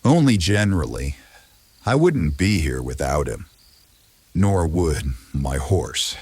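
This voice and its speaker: An actor playing a grave, troubled medieval duke in a fantasy audio drama, speaking in a measured, philosophical way. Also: male voice, extremely low pitch, big pitch swings, darkish tone, breathy edge, dry recording, heavily acted. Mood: grave, courteous.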